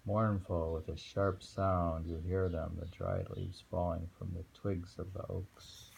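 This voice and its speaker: A man with a low, creaky voice reads verse and muses on it informally. He speaks very slowly and haltingly, with pauses to think. Faint noise sits behind him. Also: flat delivery, a little mellow. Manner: halting, musing. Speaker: poetry reader and commentator. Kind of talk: reading poems aloud with informal commentary.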